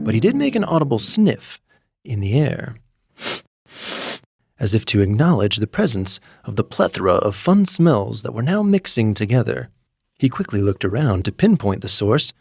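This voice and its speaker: A man narrating a scripted comedic story in an expressive, theatrical way, with music playing behind him. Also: on the low side, gravelly. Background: background music.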